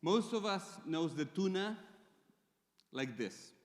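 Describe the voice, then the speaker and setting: A man from Chile with a Chilean Spanish accent, speaking English as a second language and giving a conference presentation with measured pauses and fillers. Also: high-pitched, animated. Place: large conference hall. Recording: reverberant conference hall.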